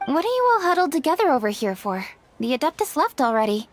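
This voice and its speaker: A brisk, principled young official. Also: female voice, high-pitched, sing-song, heavy background noise. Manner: crisp, upright, slightly prim. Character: brisk, proper young woman official, principled and a bit flustered.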